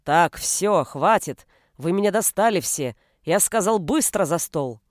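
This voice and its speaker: Woman voicing a gruff, domineering, irritable father. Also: on the low side, lively intonation, unhurried, no echo, clean studio sound. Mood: enraged. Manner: gruff, barking commands. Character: irritable, domineering father snapping and roaring at his family. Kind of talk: audiobook character voice.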